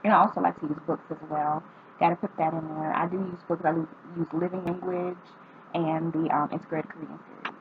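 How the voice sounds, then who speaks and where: Young woman from North Carolina, lowish voice, casual tag vlogger, reads questions then answers with ums, tinny lo-fi recording. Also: steady tone, a little fast, a little hiss or hum. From North Carolina, United States. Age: 20s.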